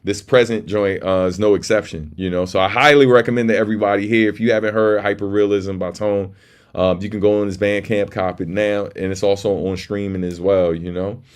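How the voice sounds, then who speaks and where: Male livestream host, deep voice, laid-back street-casual delivery, big on shout-outs. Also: fairly even intonation, fairly quick, smooth.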